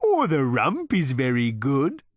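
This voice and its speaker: Big placid cow for an audiobook, pitched up and really drawn out, super polite waiter manner. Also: male voice, huge pitch swings, no room sound, studio quality. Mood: contented, ingratiating. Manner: slow, drawn-out, obsequious lowing. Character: large placid talking cow, ingratiatingly polite waiter-like, eager to be eaten.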